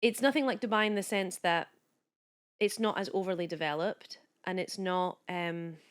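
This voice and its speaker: A woman's voice: on the low side, moderate pace, smooth. A woman in her late thirties from Kuwait, a podcast guest and wellness coach chatting casually and animatedly, with lots of "like"s and restarts. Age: late 30s. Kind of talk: casual chat.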